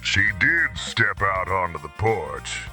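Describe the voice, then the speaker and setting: A man playing a boastful, folksy country husband in an audio drama, with emphatic, drawn-out delivery. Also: low-pitched, big pitch swings, pushed and bright, soft background music, full character voice. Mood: wry.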